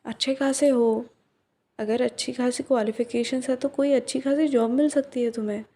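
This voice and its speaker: A young woman acting a caring, chatty, boldly curious flower-shop girl in an ASMR roleplay, speaking softly and unhurriedly and mixing in English words. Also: on the high side, steady tone, natural voice. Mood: teasing, concerned. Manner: soft, unhurried, musing, mixes in English words. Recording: roomy, echoing reverb on the voice.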